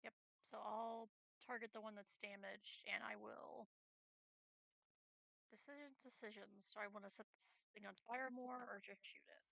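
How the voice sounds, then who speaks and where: A woman's voice: medium pitch, fairly even intonation, moderate pace, creaky, fairly bright tone, dry recording, rough recording. A woman playing a tabletop game, chatting casually as she thinks her choices through aloud. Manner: deliberating aloud.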